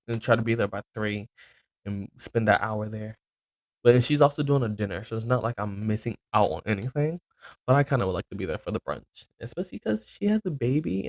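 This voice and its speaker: A man's voice: fairly low pitch, no echo. A man in his twenties hosting his own podcast, talking loosely about his week with many ums and self-corrections. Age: 20s. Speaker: podcast host. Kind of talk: casual rambling monologue.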